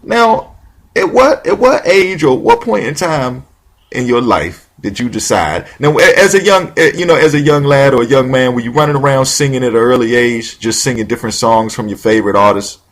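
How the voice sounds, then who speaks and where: A man's voice: mid-range voice, lively intonation, moderate pace. A man hosting a talk show, rambling with lots of restarts and casual slang. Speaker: radio show host.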